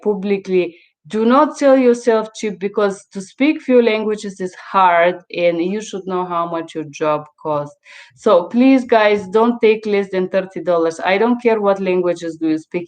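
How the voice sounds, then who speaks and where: Emphatic woman. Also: mid-range voice. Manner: emphatic, blunt, repeats words for stress. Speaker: interpreter and mentor hosting a livestream. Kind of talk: informal live advice talk to viewers.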